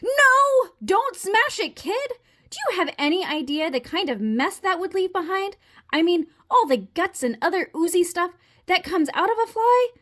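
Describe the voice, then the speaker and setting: Woman voicing a high-pitched, fussy neat-freak frog. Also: animated, moderate pace. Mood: panicked, alarmed. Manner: theatrical, indignant, exclaiming. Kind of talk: children's storybook read-aloud.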